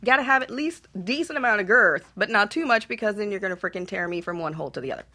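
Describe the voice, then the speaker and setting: A woman's voice: mid-range voice, lively intonation, fast, pushed and bright. A woman on a radio panel, casually telling explicit stories in a candid, unabashed and sweary way.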